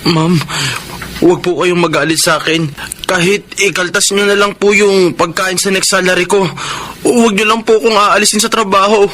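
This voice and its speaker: A man's voice: high voice, steady tone, moderate pace, pushed and bright. A man playing a humble, anxious young waiter and devoted older brother in a Filipino radio drama, polite and deferential. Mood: flustered, pleading. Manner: polite, deferential, stammering when flustered. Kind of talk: Filipino radio drama acting with first-person narration.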